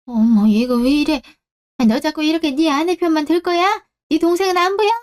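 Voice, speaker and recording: A woman's voice: sits high, a little fast, darkish tone, pitched above the performer's own voice, natural voice. A woman voicing a callous older mother-in-law in a radio drama, with a haughty, reproachful, nagging delivery. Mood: indignant. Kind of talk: Korean radio-drama story acting.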